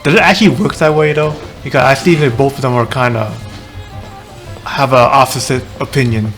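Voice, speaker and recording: A man's voice: mid-range voice, steady tone, a little fast, fairly bright tone. A man giving casual gameplay commentary as a streamer. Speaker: game streamer. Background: game music.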